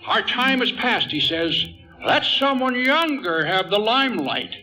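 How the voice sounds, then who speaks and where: A man's voice: sits high, big pitch swings, a little slow, sharp tone, full character voice, low-quality recording. An actor playing a chatty, wily old male vaudeville comedian in a theatrical 1940s radio comedy. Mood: sly, chummy. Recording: live radio play, faint music.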